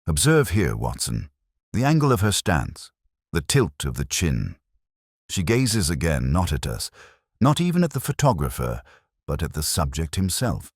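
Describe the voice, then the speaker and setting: Male reader as keen master detective: very deep, crisp, imperious, precise, dry studio sound. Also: huge pitch swings, measured pace, no room sound, full character voice. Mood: intent. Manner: formal, precise, emphatic, lecturing. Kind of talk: audiobook detective story dialogue.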